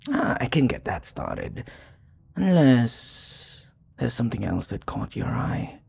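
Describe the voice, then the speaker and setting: A man playing a flirty, charming vampire bartender in an intimate ASMR roleplay, teasing softly and unhurriedly with breathy murmurs and hesitations. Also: sing-song, slow, heavily acted. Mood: friendly, easygoing. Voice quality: low, husky, breathy.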